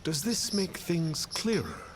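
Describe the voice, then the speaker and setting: A man voicing a pompous video game sage who poses as a divine master and speaks in measured, archaic phrases. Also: a little high, big pitch swings, a little hiss or hum. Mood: lofty. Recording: music under the voice.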